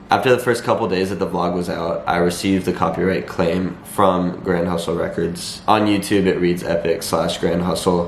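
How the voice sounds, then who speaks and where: A young man with a slightly raspy voice and a cold, explaining things to viewers in a measured, matter-of-fact vlog style. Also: deep voice. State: has a cold. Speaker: vlogger. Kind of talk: talking to camera, explanatory vlog. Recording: echoey room, faint background noise.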